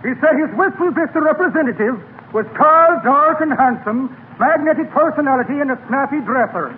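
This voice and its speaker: A man's voice: very high voice, animated, a little fast. A noisy, tinny vintage radio recording. a sardonic jailer policeman, bossy and mocking, barking curt remarks in a 1940s comedy style. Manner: brusque, mocking, commanding.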